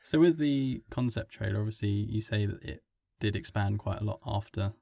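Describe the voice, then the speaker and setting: A man's voice: on the low side, normal speed, vocal fry, mellow, no room sound, clean studio sound. A man co-hosting a podcast, asking direct follow-up questions in casual talk. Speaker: podcast co-host.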